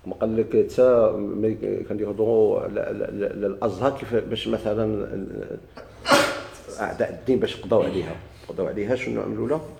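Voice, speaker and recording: A man with a Moroccan Arabic accent teaches grammar in a dark, gravelly voice. He moves briskly, repeats key words and quizzes his students. The recording is echoey. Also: lowish voice, steady tone, a little hiss or hum. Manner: explains slowly, repeats and stretches key words, asks students questions.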